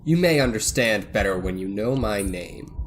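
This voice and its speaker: An actor playing a bitter, vengeful financier in a radio thriller, cold and deliberate with surges of excitement. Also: male voice, fairly low pitch, noisy background, close to an everyday voice. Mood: cold anger. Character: bitter vengeful self-made financier holding his captives. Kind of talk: old-time radio thriller acting.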